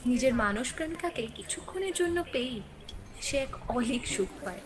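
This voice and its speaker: A woman's voice: high-pitched, expressive, very fast. A woman with an Indian Bengali accent, narrating a scripted radio play. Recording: clear ambient noise behind.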